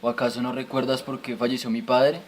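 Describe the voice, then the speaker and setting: A man's voice: mid-range voice, little pitch movement, a little fast, slight background noise, close to an everyday voice. A man playing a reluctant, sceptical young son who questions things in an amateur radio drama. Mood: defiant.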